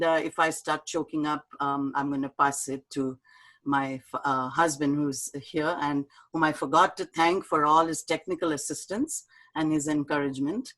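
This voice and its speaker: Soft-spoken woman on a video call. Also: deep voice. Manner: soft, halting, warm storytelling with fillers. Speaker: speaker at an online talk. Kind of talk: reading her poem and answering questions.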